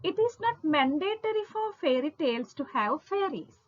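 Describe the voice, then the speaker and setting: Female lecturer for scripted lesson narration; high voice with lively, swooping intonation, deliberate and instructional, lo-fi sound. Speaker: English literature lecturer. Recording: dull lo-fi audio.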